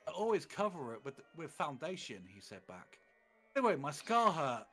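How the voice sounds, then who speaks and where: A man's voice: on the high side, big pitch swings, moderate pace, bright, forceful tone. A man doing a comedic dramatic reading of fan fiction on a livestream, theatrical and mock-serious. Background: faint music.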